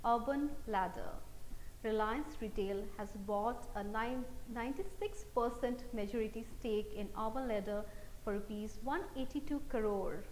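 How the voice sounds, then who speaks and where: A woman's voice: fairly high pitch, a little mellow. A woman with an Indian accent anchoring a scripted news bulletin. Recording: roomy echo, faint background noise.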